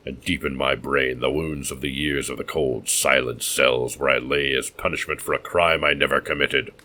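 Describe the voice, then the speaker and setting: Guy doing a deep booming crazed tavern keeper, roaring and laughing wild, storybook villain. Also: fairly even intonation, moderate pace, pushed and bright, full character voice. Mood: ranting. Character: mad, roaring escaped-prisoner innkeeper man.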